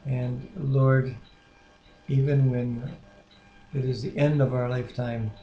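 A man's voice: mid-range voice, little pitch movement, takes it slowly, lo-fi sound. A man in his sixties co-hosting a Bible reading with casual devotional talk, prayer and scripture, recorded on a webcam in a living room. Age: 60s. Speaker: co-host of a Bible reading series. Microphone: webcam microphone at arm's length. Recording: roomy webcam audio with faint noise.

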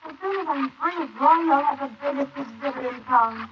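An actress playing a hard, scheming, impatient young woman in a 1940s radio play, brisk, scornful and coaxing. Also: sits high, close to an everyday voice. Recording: muffled, hissy 1940s wire recording.

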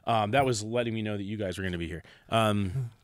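A man's voice: on the low side, dry recording. A man, a special effects artist, telling stories casually and jokingly in an interview at his workshop. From United States. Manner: jokey, animated storyteller with quick laughs.

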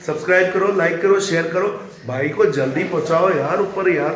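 A man in his thirties with a hoarse throat, chatting casually to viewers as a family vlog host. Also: sits high, moderate pace. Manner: chatty, teasing, addresses viewers directly. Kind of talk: casual vlog talk to camera. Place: at home, indoors. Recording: noisy home recording.

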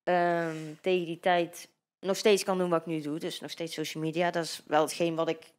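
A woman's voice: lowish voice, flat delivery, normal speed. A 22-year-old woman with a Dutch accent, a guest in a casual interview, talking hesitantly with many fillers and restarts into a handheld mic. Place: bright living-room studio with sofa. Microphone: handheld microphone.

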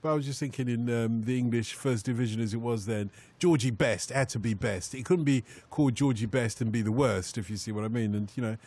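A man's voice: mid-range voice, fairly quick, few pauses, darkish tone, breathy edge. A man hosting a radio phone-in show, chatting jokily and reading out listener messages in a studio. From Nigeria. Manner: jokey, chuckling, reads out listener messages.

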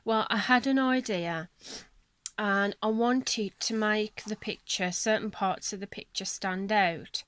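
A woman hosting a colouring channel, chatting casually at her table at home, her voice croaky from a cold and her talk apologetic and rambling. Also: mid-range voice, slow, fairly bright tone, no echo. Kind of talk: casual chat showing colouring books. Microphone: camera on a selfie stick above the table.

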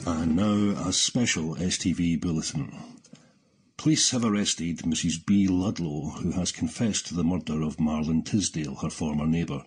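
A man playing a grave, husky television news anchor reading a formal bulletin in an audio drama. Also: low-pitched, moderate pace, soft background music.

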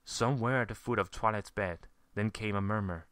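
A man's voice: fairly low pitch, brisk, fairly bright tone. A man reading a scripted story aloud as narrator. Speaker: fan fiction reader, narrator.

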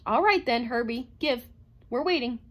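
A woman's voice: on the high side, lively intonation, normal speed, pitched above the performer's own voice. A woman voicing a commanding female robot psychologist in an audiobook, insistent and methodical. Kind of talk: dramatized audiobook reading.

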